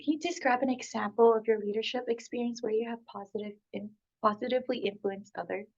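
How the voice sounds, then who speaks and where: A woman's voice: on the high side, fast, a little mellow. A woman interviewer reading out questions to a candidate. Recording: muffled, lo-fi audio.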